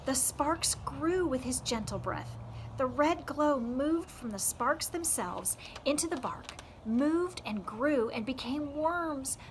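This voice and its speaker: A woman with a fairly high voice reads aloud like an audiobook host. Her delivery is deliberate and emphatic, shaped for dramatic storytelling. There is faint noise on the amateur recording. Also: lively intonation. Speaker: read-aloud host for a youth scouting program. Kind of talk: expressive audiobook-style read-aloud narration.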